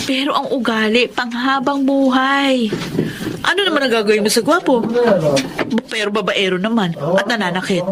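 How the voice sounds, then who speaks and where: A woman's voice: medium pitch, noisy background, lightly acted. A woman playing a blunt, teasing best friend in a radio drama, with a Filipino accent. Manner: scolding, teasing, exclamatory.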